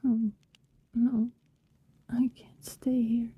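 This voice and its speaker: A woman playing a tender, possessive vampire in an intimate ASMR roleplay, seductive and wistful, whispering slowly and soothingly close to the microphone. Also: on the high side, flat delivery, dry recording, muffled recording, exaggerated voice. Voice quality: breathy, mostly whispered. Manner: slow, lingering, soothing delivery. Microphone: very close, dry microphone.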